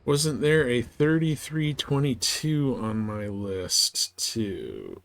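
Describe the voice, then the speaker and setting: A man's voice: medium pitch, big pitch swings, slow, gravelly, fairly bright tone. A man giving casual live game commentary, rambling and thinking aloud as he plays. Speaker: game streamer.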